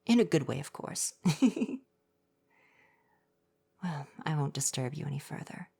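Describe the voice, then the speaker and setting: A man playing a gentle, coy and flirty forest spirit in an ASMR roleplay, speaking softly, at times breathily, in a formal, reassuring way. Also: fairly high pitch, expressive, measured pace, vocal fry, breathy edge, full character voice. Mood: tender, coy.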